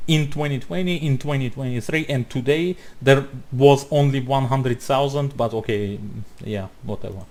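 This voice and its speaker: A man's voice: medium pitch, normal speed, few pauses, a little mellow. Blunt man. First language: not English. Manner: blunt, heated, interrupting, many restarts. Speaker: reaction video commentator. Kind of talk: commentary over a played video.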